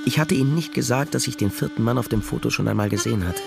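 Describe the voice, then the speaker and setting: A man's voice: mid-range voice, fast talker, gravelly, over music. An actor playing a wry, defiant private detective who talks and narrates his case in a radio crime play. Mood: wry, narrating.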